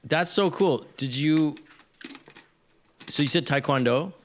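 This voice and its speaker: A man hosting in casual chat, joking and teasing with quick pop-culture references. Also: fairly high pitch, a little hiss or hum. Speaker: host.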